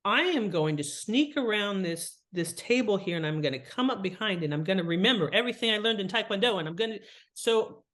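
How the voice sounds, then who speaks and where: Woman, medium pitch, casual interview talk, animated, chatty, expressive delivery. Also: a little fast. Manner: chatty, expressive, acts out imagined thoughts and asides. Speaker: podcast guest, fiction author and editor.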